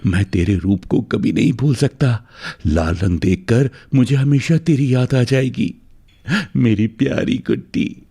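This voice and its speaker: A man's voice: medium pitch, unhurried, vocal fry, dark tone, breathy edge. A man voicing a rich young bridegroom in a cartoon story, doting and possessive, speaking fervently and emphatically. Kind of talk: melodramatic cartoon story acting.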